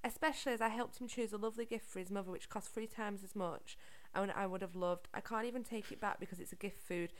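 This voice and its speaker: Chatty woman from liverpool, swears a lot, middle pitch, just gabbing on a podcast. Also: fast talker. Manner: chatty, swears freely, lively storytelling. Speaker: podcast co-host. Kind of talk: casual chat.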